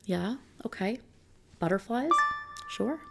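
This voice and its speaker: A soft-spoken woman doing an ASMR roleplay as a consultant, explaining options to a client in an unhurried manner. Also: medium pitch, expressive, vocal fry, loud music behind the voice.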